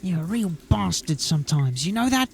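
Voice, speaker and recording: A woman's voice: fairly low pitch, big pitch swings, normal speed, vocal fry, breathy edge, heavily acted. A woman playing a superstitious, grumbling male underling in a fantasy audio drama, anxious and plaintive. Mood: indignant. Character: nervous, superstitious, whining male underling called Gunny.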